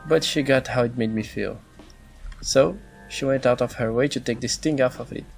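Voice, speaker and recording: A man playing a reflective, wistful elf groom-to-be who confides in measured visual novel dialogue. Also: mid-range voice, vocal fry, lightly acted. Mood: nostalgic, confiding. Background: background music.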